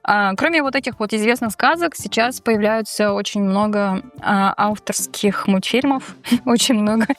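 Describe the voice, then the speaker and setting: A woman co-hosting a show in casual, animated, joking conversation, speaking colloquially. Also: mid-range voice, normal speed, faint music behind. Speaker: co-host of a Tatar language-learning show. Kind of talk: casual playful chat and quiz banter.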